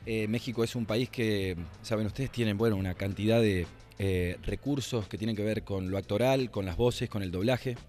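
An Argentine man in his forties talks as an interviewed radio producer. His voice is fairly low and his delivery reflective and halting, over faint music. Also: steady tone, darkish tone. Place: indoor library.

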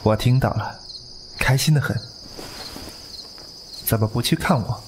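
A man's voice: mid-range voice, measured pace, background noise, lightly acted. Man acting composed young martial-arts heir. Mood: calm, tender. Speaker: voice actor. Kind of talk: Chinese wuxia audio drama acting.

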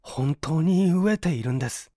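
A man's voice: a little high, moderate pace, dark tone, no echo, clean studio sound, pitched above the performer's own voice, natural voice. A man playing an earnest, penniless young man in a story reading, humble and apologetic, pleading with effort. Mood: weary pleading.

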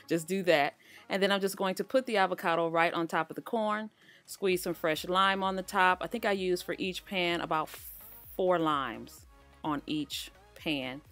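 Chatty woman, low voice. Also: a little slow. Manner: chatty, relaxed, talks straight to viewers. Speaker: cooking channel host. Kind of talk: casual cooking voice-over. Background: faint background music.

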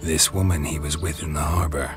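An actor playing a gruff, world-weary monster hunter in a video game, with a dry, laconic, deadpan delivery. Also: male voice, fairly quick, over loud music. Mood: wry. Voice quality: low, gravelly rasp. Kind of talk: video game cutscene acting.

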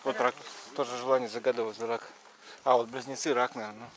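A man's voice: mid-range voice, level tone, fairly quick, a bit bright. A man giving casual on-the-spot commentary in short, repetitive remarks, filming outdoors as a travel vlogger. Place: outdoors in a snowy park. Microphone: handheld camera microphone. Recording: very noisy outdoor camera recording.

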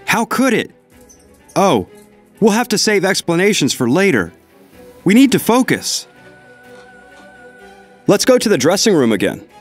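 A man playing a concerned middle-aged gentleman in a mystery video game, speaking with earnest urgency. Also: high voice, very animated, measured pace, long pauses, faint music behind. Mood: puzzled, resolute. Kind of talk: dramatic video game acting.

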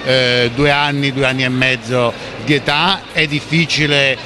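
A middle-aged man answering an interview in a halting way, with constant fillers, into a handheld mic. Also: medium pitch, fairly even intonation, noisy background. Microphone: handheld reporter mic.

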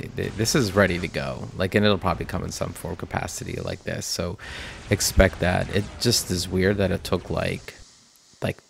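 A man with a very low voice and plenty of gravelly fry talks casually through a mobile game session. His nose sounds stuffed up from a cold, and he rambles with many filler words. A faint background noise sits under his voice. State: nasal from a cold. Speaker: mobile game video host. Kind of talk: casual gameplay commentary.

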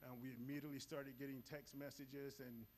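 A middle-aged man with a loud voice, giving a live safety training talk on a handheld mic in a large auditorium, moving around as he speaks. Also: mid-range voice, brisk, a little hiss or hum. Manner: energetic, moves around the stage while talking. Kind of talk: live presentation to an audience. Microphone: handheld microphone.

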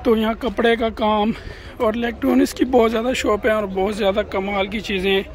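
A man's voice: sits high, level tone, a little fast. Chatty man touring an outdoor market. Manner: chatty, keeps addressing viewers as "janab". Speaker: vlogger. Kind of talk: walking market tour vlog. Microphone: phone camera held by the walking speaker.

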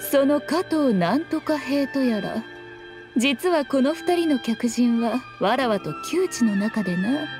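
A woman's voice: high voice, lively intonation. A woman voicing a sly, haughty fox shrine priestess in a game, speaking in an archaic, lofty manner. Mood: sly, teasing. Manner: archaic, lofty, unhurried speech. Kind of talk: voiced game dialogue. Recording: game music behind the voice.

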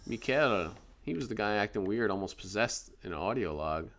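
A man's voice: lowish voice, expressive, moderate pace, a bit bright. A man hosting a let's play, chatty and musing aloud as he reads on-screen text. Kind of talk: gaming commentary.

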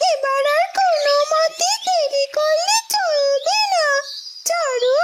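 A woman voicing a small, loyal golden bird in a cartoon fairy tale, caring, anxious and fussing. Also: very high voice. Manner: chirpy, fussing, repeats itself. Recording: loud music behind.

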